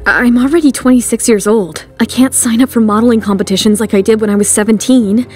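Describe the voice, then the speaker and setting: A woman voicing a determined, guarded model in her twenties, earnest and measured, in an audio drama. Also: on the high side, a little mellow, natural voice. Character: determined, guarded twenty-six-year-old female model. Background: background music.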